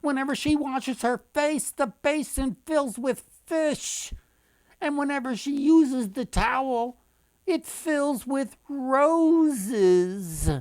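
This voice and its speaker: A man voicing an earnest, ragged young man in a folktale, pleading slowly with his king. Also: extremely high pitch, a little mellow, no echo, voice pushed up.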